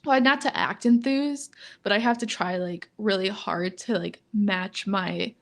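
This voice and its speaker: A young woman in her twenties co-hosting a podcast in casual chat, talking in a flat monotone and filling nearly every phrase with "like". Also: unhurried, gravelly. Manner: says "like" constantly, flat and low-key, laughs often. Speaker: podcast co-host.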